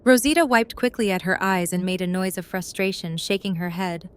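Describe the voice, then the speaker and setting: Mid-pitched woman narrating a story. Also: fairly quick, clear, resonant tone.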